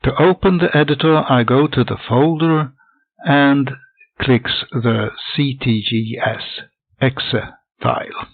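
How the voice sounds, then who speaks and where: Older man, husky voice, methodical tutorial, dry tinny lo-fi recording. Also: mid-range voice, slow, no echo. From Sweden. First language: Swedish. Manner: methodical, plain step-by-step explaining. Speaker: hobby game developer. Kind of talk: software tutorial voice-over.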